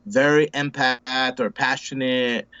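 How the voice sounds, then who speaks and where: A man's voice: medium pitch, level tone, slow, sharp tone, smooth, no echo, muffled recording. A man in his forties, talking casually as an interview guest, rambling with lots of restarts and repeated words, and calling people bro and dude. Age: 40s. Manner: laid-back, says bro and dude a lot. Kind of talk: casual conversation.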